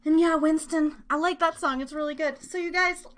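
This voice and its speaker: Casual, higher-voiced woman hosting live radio. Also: steady tone, normal speed. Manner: informal, chatty. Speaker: radio show host. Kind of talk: casual live music show chat.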